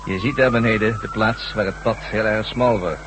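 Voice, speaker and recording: A man's voice: fairly low pitch, steady tone, moderate pace, close to an everyday voice. An actor playing a resigned, matter-of-fact male henchman with a regretful manner in a science fiction radio drama. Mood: grim, regretful. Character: resigned male henchman escorting a doomed prisoner. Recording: noisy old radio drama recording.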